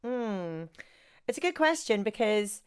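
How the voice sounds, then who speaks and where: A woman's voice: mid-range voice, big pitch swings, unhurried, smooth. A woman with a Northern Irish accent, a sports journalist talking as an interview guest in a gregarious, self-deprecating way. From Northern Ireland. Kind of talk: casual interview chat.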